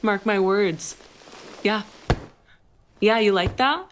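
A woman playing a playful, flirty, tender best friend with a crush in an intimate, halting ASMR roleplay. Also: medium pitch, a little hiss or hum, natural voice. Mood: excited, playful. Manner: teasing, halting, giggly delivery. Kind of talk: intimate ASMR roleplay, acted one-sided conversation.

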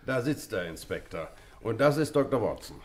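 A man's voice: lowish voice, big pitch swings, moderate pace, a little hiss or hum. A man playing a hearty, blunt army colonel and country host in a German radio play, jovial and emphatic. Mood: attentive.

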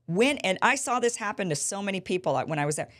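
A woman in her thirties hosting a political interview show, telling stories with animated gestures in a small studio. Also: medium pitch, fast talker. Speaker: host. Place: small studio with a bright backdrop. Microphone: studio mic on a boom.

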